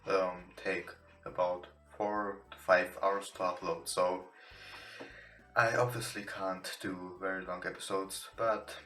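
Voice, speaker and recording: A man who is not a native English speaker, reading a visual novel aloud with casual commentary in a somewhat halting way. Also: low voice, little pitch movement, fairly bright tone. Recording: roomy, echoing home recording. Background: game music.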